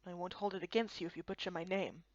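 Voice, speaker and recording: A woman voicing a solemn, courteous elderly male kappa in a fantasy game, speaking in a formal, hushed way. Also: on the low side, steady tone, fast, no echo, muffled recording, pitched below the performer's own voice, lightly acted. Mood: solemn, polite.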